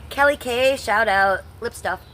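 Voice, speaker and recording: A woman's voice: on the high side, unhurried, fairly bright tone, slight background noise. A middle-aged woman from Ohio, talking bluntly and sarcastically to her chat on a livestream, swearing freely. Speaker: livestream host.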